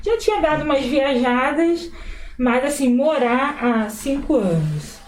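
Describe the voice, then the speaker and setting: A woman's voice: high-pitched, a little slow, roomy sound, slight background noise. A woman from Rio de Janeiro hosting an interview, telling stories expressively. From Brazil, Rio de Janeiro. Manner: expressive, animated storytelling. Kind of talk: conversational interview.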